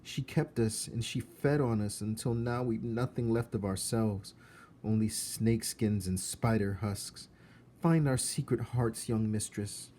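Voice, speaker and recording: A man voicing sad, faint ghost children in a storybook, with drawn-out, old-fashioned speech. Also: fairly low pitch, dark tone, a little hiss or hum, pitched above the performer's own voice, lightly acted. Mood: grave, sorrowful. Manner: drawn-out, archaic wording.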